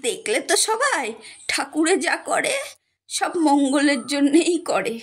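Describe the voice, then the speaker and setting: A woman's voice: high voice, big pitch swings, measured pace. A woman voicing a kindly, folksy elderly village great-aunt in a cartoon, affectionate and reassuring. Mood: reassuring, fond. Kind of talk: Bengali moral-story cartoon acting. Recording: music behind the voice.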